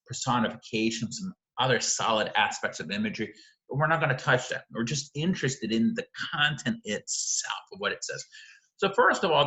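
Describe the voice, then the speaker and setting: A man's voice: mid-range voice, bright, forceful tone, reverberant, rough recording. A man teaching literature in an informal video lecture, speaking emphatically and often checking in with rhetorical questions. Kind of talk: informal video lecture analysing a short story.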